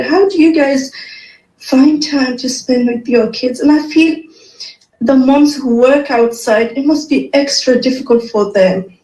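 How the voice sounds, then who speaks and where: Young woman from Afghanistan, mother venting in an earnest monologue; somewhat high, soft mellow tone, roomy echo. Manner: earnest, venting, lists chores one by one. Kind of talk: personal monologue to other mothers.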